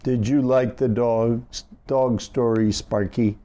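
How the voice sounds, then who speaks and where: Guy reading a kids picture book, slow and mellow, really expressive storytime delivery. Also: medium pitch. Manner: unhurried, animated, addressing young listeners. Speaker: storybook reader for children. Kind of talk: expressive read-aloud of a rhyming picture book.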